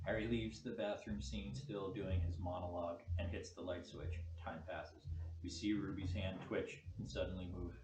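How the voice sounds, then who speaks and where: A man's voice: low voice, steady tone, moderate pace. A man reading out screenplay stage directions as the narrator at a table read. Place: echoey room at a group table read. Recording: distant mic, echoey noisy room.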